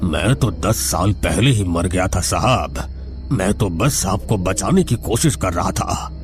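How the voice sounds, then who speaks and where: A man's voice: sits low, expressive, slow pace, soft background music. Man voicing a humble, sorrowful old caretaker ghost. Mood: sad, gentle.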